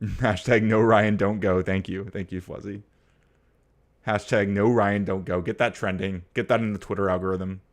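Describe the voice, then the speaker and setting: A young man from the United States with a very low, deep voice hosts a casual livestream. He rambles through his points with plenty of fillers and restarts, and turns blunt and profane when a topic riles him. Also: fairly even intonation, normal speed. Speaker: livestream host. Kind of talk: casual political chat, reading viewer comments.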